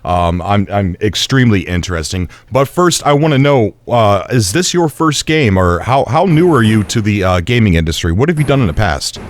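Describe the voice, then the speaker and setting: A man's voice: on the low side, big pitch swings, normal speed, few pauses. A man hosting a gaming interview show, eager and stumbling over his words. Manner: enthusiastic, stumbling restarts. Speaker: show host.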